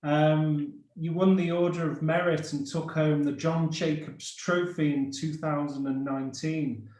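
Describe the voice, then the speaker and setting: A man's voice: fairly high pitch, flat delivery, measured pace, a little mellow. A man hosting an interview over a video call, easygoing with frequent fillers. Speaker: host. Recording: roomy video call audio.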